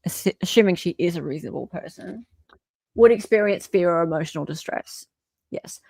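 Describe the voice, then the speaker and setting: A woman's voice: medium pitch, dry recording. A woman co-host reading a document aloud informally and adding wry, mocking commentary.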